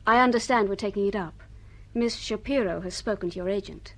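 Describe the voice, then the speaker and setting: A woman's voice: mid-range voice, a little fast, fairly bright tone. An actress playing a cool British female assistant in a radio drama, formal and dry. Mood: dry, officious. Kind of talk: naturalistic radio drama acting.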